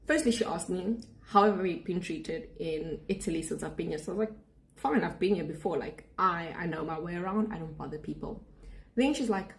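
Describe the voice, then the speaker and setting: A woman's voice: fairly low pitch, darkish tone, poor audio. A young South African woman with a South African accent, a student abroad, venting to camera in a sarcastic, indignant vlog rant. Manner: sarcastic, indignant, talks straight to viewers.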